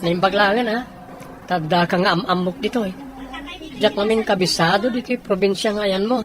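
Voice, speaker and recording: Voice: mid-range voice, steady tone, brisk, crowd noise, lightly acted. A voice actor playing a humble adult worker in an Ilocano audio drama, speaking conversational dialogue. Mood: friendly, grateful. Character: humble adult city worker moving to the province for a job.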